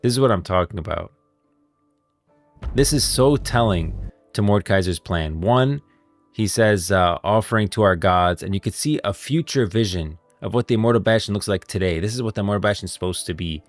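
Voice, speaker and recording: Male streamer, low and creaky voice, enthusiastic, emphatic, off-the-cuff lore commentary. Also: fairly quick, no echo. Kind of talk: casual livestream game lore commentary. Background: music.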